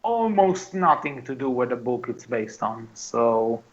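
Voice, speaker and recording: A man's mid-pitched voice in a dull, lo-fi recording. He is a podcast co-host discussing movies casually with friends. He speaks with firm opinions and keeps stopping to restart his phrases. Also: big pitch swings, a crowd in the background. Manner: halting, often repeats and restarts phrases.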